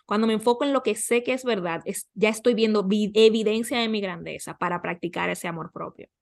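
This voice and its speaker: A woman's voice: mid-range voice, brisk, creaky. A woman speaking as a podcast guest and coach, giving conversational advice and explaining through questions and examples.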